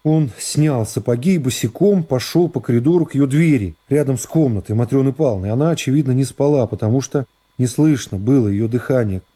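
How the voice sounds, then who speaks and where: A middle-aged man narrating a classic novel for radio in a measured, dramatic storytelling manner. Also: medium pitch, dark tone. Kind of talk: literary narration in a radio play. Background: music.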